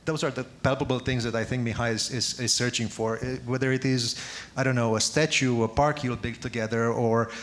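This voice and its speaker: Halting man speaking on a panel. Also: medium pitch, steady tone, a bit bright, slight background noise. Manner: halting, many fillers and restarts. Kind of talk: live panel discussion.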